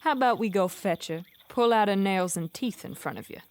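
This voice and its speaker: A woman's voice: medium pitch, lively intonation, fairly quick, clear, resonant tone, lightly acted. A woman playing a stern, menacing military commander in a fantasy audio drama, clipped and formal.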